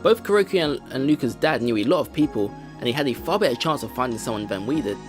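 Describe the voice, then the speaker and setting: Lively narrator reading a visual novel aloud. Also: mid-range voice, fast. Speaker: let's play host. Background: game music.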